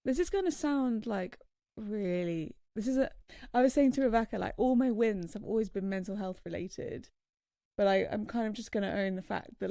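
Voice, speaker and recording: Hesitant woman with a dark, gravelly voice. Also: medium pitch, dry recording, clean studio sound. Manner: hesitant, lots of fillers and restarts. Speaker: podcast co-host. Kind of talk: casual podcast chat.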